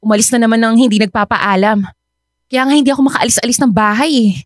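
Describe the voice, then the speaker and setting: A woman's voice: fairly high pitch, fast talker, no echo, clean studio sound, lightly acted. A woman playing an exasperated, worried older sister and working mother in radio drama dialogue, with emphatic, complaining interjections. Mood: fed up, worried.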